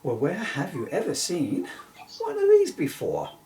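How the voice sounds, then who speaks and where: Man voicing a lively, gentle storybook unicorn. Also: a little high, unhurried, distant mic, slight background noise, pitched above the performer's own voice, heavily acted, poor audio. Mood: proud, amused. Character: gentle, jokey male magic unicorn. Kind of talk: children's storybook character reading.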